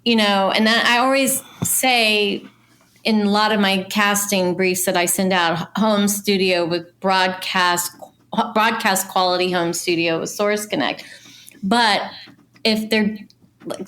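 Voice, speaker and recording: A woman talking casually about her industry in an animated, conversational way. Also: mid-range voice, a little slow. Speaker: voice casting professional.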